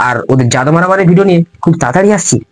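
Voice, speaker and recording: Prank-call host: young man, very quick delivery, informal and crude. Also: fairly high pitch. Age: young adult. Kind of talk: casual chat to viewers and prank calls.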